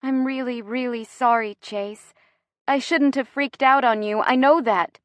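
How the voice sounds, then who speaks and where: A woman's voice: high voice, moderate pace, no room sound, voice pushed up, natural voice. A woman voicing an impulsive, emotional young woman, the heroine of a romance story, with emphatic delivery. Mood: apologetic. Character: impulsive, dramatic, apologetic young heroine in love.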